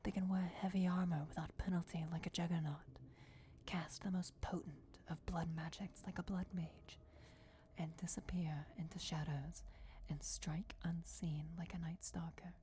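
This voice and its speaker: A woman's voice: on the low side, steady tone, moderate pace, dark tone, a little hiss or hum, natural voice. A woman voicing a regal, commanding vampire goddess in a solemn whispered fantasy ASMR roleplay. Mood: proud, commanding. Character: ancient vampire goddess, commanding, softly menacing, bestowing destiny.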